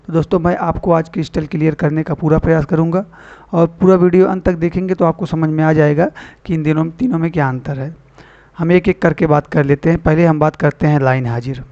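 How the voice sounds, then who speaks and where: A man's voice: a little high, steady tone, a little fast, dark tone. A man giving an informal explanatory lesson to students as an online teacher, repeating and rephrasing key points and addressing viewers as friends. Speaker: online exam-prep teacher and channel host. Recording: dull lo-fi voice recording.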